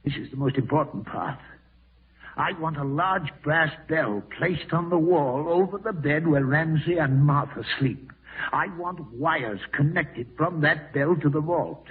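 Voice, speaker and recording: Raspy, morbid old man, vintage radio. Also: a little high, a little slow, far from the mic. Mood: urgent insistence. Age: older. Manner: insistent, commanding, brooding. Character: old ailing man, morbidly fearful of live burial, domineering. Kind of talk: 1940s radio horror acting. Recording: muffled vintage radio broadcast, thin narrow band.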